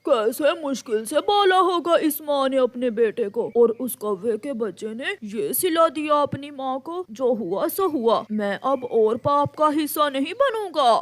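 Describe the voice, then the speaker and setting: Woman as a guilty uncle owl, slow-talking. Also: sits high, lively intonation, dry recording. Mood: remorseful. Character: uncle owl, reluctant kidnapper with a guilty conscience. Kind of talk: Hindi animated moral story dialogue.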